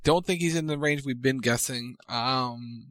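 A man's voice: medium pitch, unhurried, fairly bright tone, no echo. A man co-hosting a radio show in casual banter, with a dry, joking manner.

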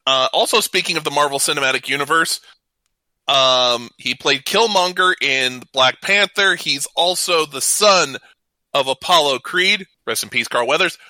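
A man co-hosting a casual radio talk show, reading out trivia with halting introductions. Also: a little high, bright, forceful tone, dry recording.

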